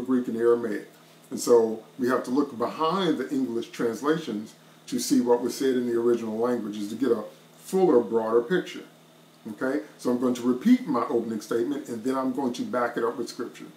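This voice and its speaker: A middle-aged man delivers an online sermon with an emphatic, repeating preacher's cadence. He speaks into a laptop webcam from a small wood-paneled room. The sound carries a roomy echo around his mid-pitched voice. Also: a little fast, a little mellow.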